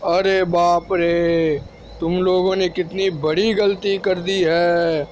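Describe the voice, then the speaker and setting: Guy doing a village man, high voice, slow and dramatic, horror cartoon. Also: little pitch movement, slight background noise. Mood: alarmed, ominous. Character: rustic village man, alarmed, warning of witches. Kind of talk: Hindi cartoon horror story acting.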